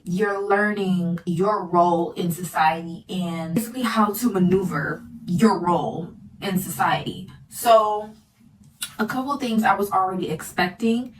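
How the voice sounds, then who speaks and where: A young woman in her early twenties talks casually to her followers in a girly, familiar way. Her voice sits a little away from the mic, with faint noise around it. She comes across as a vlogger sharing advice. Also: medium pitch. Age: early 20s. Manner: girly, familiar address to viewers. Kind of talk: casual advice chit chat to camera. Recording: distant mic with light background noise.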